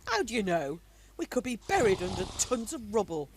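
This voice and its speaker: A woman's voice: mid-range voice, very animated, a little fast, natural voice. A woman playing a prim, nagging English wartime wife and mother in an amateur radio play. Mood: anxious, impatient. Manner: indignant, nagging.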